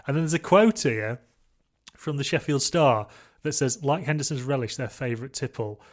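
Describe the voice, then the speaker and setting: Chatty English podcast host. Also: male voice, mid-range voice, animated, normal speed. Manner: chatty, laughing, rambling. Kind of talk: casual podcast conversation.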